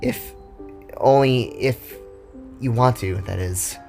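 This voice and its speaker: A man's voice: mid-range voice, slow pace, vocal fry, darkish tone. A man voicing a teasing but caring young girl in a narrated story. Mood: gentle, hesitant. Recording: music behind the voice.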